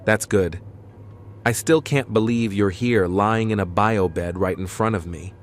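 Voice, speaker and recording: A man playing a gentle adult son speaking to his mother in an audio drama. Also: lowish voice, a little slow, a little hiss or hum, close to an everyday voice. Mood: tender, bemused.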